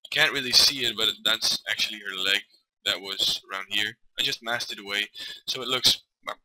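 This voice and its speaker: A man from Belgium, hesitantly talking through a software tutorial with lots of fillers and self-corrections. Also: lowish voice, brisk, background noise. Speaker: tutorial maker. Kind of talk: casual screen-recorded software tutorial. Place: at a computer desk.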